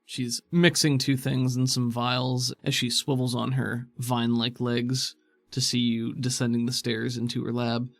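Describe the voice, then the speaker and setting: A man's voice: mid-range voice, little pitch movement, a little slow, gravelly, dry recording. A man working as game master, narrating an improvised tabletop game in a descriptive, conversational way.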